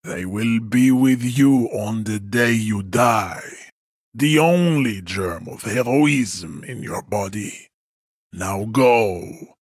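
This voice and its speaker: A male actor voicing a haughty, contemptuous strongman ideologue in a video game, declaiming in a pompous, condescending way with a husky voice. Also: lowish voice, slow pace, no room sound, studio quality. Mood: pompous scorn. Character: haughty, towering male race-theorist strongman, contemptuous and grandiose. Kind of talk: scripted video game character dialogue.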